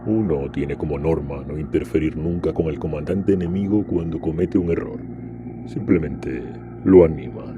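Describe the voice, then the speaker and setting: Man as a very deep-voiced defiant warsmith. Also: normal speed, lo-fi sound, over music. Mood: proud. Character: proud, defiant warsmith, a hardened traitor soldier. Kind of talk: dramatic audio drama acting.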